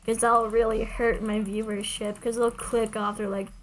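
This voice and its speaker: Higher-voiced woman streaming, playful and casual. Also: level tone, normal speed. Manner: playful, self-deprecating, talks to herself and viewers. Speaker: streamer, video game player. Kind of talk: casual gaming livestream chat.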